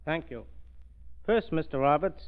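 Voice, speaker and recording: A man's voice: on the high side, animated, a little slow, smooth. A man speaking as an official guest on an old radio show, formal and stiffly scripted. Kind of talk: formal scripted 1930s-40s radio interview. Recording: muffled vintage radio recording.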